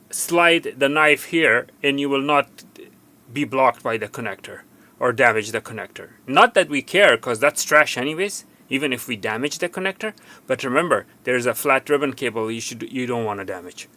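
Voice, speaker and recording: A man's voice: mid-range voice, bright, forceful tone. A man giving an unscripted hands-on tutorial as a repair technician, instructive and cautioning, often repeating himself for emphasis.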